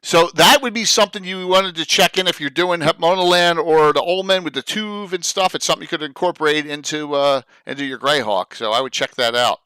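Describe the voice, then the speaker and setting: A man, his voice bright and forceful with a smooth, crisp finish. He talks in an upbeat way, tossing in quick asides. The sound is dry and close. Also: on the high side, dry recording, poor audio. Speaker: podcast host. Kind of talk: casual video-call chat. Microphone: video call.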